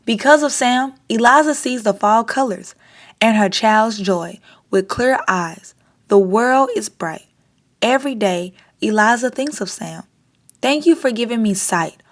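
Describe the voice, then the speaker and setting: A woman hosting a storytime, reading a children's picture book aloud in an expressive, deliberate way. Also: fairly high pitch.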